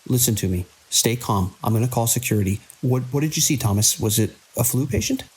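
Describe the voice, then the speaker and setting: A man playing a weary, conscientious hospital director in an audio drama, with a gravelly, husky voice. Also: medium pitch, lively intonation, a little fast, a little mellow. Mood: anxious, urgent. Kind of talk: audio drama acting, log dictation and urgent calls.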